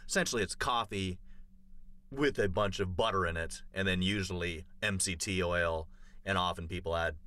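A man's voice: sits low, moderate pace, pushed and bright, no echo. A man chatting casually on a podcast, telling a long story full of fillers and restarts. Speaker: podcast co-host. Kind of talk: casual chat.

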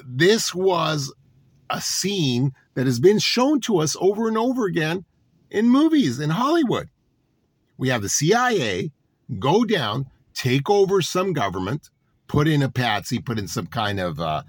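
A man's voice: a little high, wildly swooping pitch, a little slow, no room sound. A man delivering a political monologue to his audience, sarcastic and full of rhetorical questions. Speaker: political commentator.

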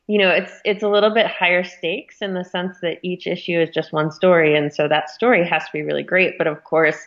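A woman with a notably low voice talks as an interview guest. She explains her editorial work in a thoughtful, conversational way. The sound is a little dull and muffled. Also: fairly even intonation, brisk, fairly bright tone.

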